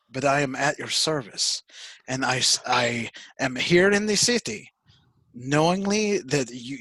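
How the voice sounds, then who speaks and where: A man voicing an earnest, proud male traveler who speaks in a deep, formal, stiff way. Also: a bit bright, husky, no room sound.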